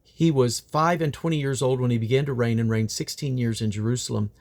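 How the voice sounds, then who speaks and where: A man's voice: mid-range voice, brisk, a little mellow. A man reading Bible scripture aloud in old King James English, in a solemn, declamatory manner. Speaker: Bible reader.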